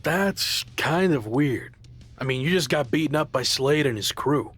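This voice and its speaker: A man's voice: a little high, lively intonation, a little slow, fairly bright tone, soft background music. A man playing a stubborn, skeptical younger pro wrestler in a video game cutscene, dry and doubting in delivery.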